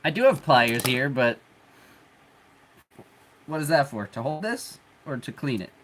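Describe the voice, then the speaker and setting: A congested man hosting a livestream, chatting in a relaxed, rambling way while he works, chuckling often. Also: mid-range voice, unhurried, slight background noise, rough recording. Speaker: 3D printing livestream host. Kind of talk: casual livestream talk while working.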